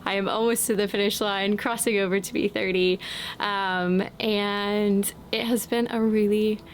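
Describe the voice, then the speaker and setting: A woman in her late twenties, talking slowly and thoughtfully in a casual vlog with frequent ums, outdoors in the wind. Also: mid-range voice, steady tone. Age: late 20s. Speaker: vlogger. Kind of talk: reflective casual vlog talk. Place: outdoors, windy.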